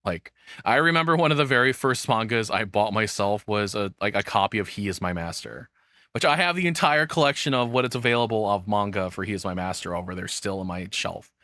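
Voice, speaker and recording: A man's voice: on the low side, animated, brisk, bright, forceful tone, dry recording, clean studio sound. A man in his thirties giving casual, rambling reaction commentary as the host, swearing freely. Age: 30s.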